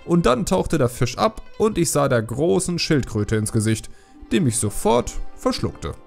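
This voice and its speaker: A man voicing an excited fisherman who tells his tales in a colloquial, animated way for a fantasy audiobook. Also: fairly high pitch, measured pace, gravelly, soft background music, voice pushed up, exaggerated voice. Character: excited male fisherman recounting a wild sea escape.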